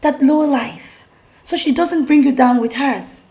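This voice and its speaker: Imperious high-voiced Nigerian rich girl. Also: measured pace, warm tone. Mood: scheming, haughty. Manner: haughty, imperious. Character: entitled, domineering rich young woman, scheming and possessive. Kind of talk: screen drama acting.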